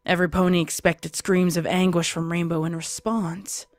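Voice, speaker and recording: A woman's voice: lowish voice, little pitch movement, moderate pace. A woman narrating a scripted dramatic story. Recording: prominent music under narration.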